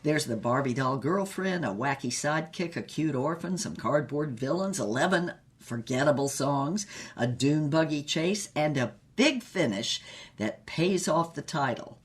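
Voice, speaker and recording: A woman playing a worldly, brassy older former starlet who tells racy memoirs in a husky voice, wryly and unhurriedly, with dramatic pauses. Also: deep voice, expressive. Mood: wry, breezy. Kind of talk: comic scripted memoir narration.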